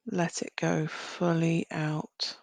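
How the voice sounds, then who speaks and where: A woman leading a guided visualisation as a meditation guide, speaking gently in short phrases with long pauses. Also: deep voice, level tone, vocal fry, no room sound. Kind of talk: guided visualisation, slow soothing narration.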